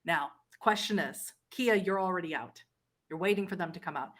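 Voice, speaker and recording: A woman runs a tabletop roleplay game as its storyteller. Her voice sits at a middle pitch, and she narrates dramatically, speaking straight to the players in second person. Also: brisk, fairly bright tone.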